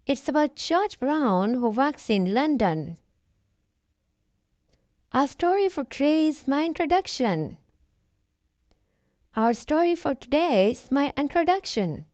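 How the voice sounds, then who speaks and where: Woman English teacher, high voice, repeats every line, dry close sound. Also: a little mellow, dry recording, dull audio. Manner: repeats each sentence, clear and instructive. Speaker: English teacher and channel host. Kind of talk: lesson introduction.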